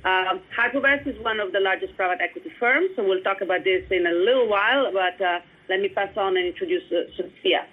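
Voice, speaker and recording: A woman's voice: mid-range voice, fairly quick, slightly rough. A woman from Italy with an Italian accent, a guest investor explaining her firm in a businesslike way. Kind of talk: interview answers. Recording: tinny phone-like line.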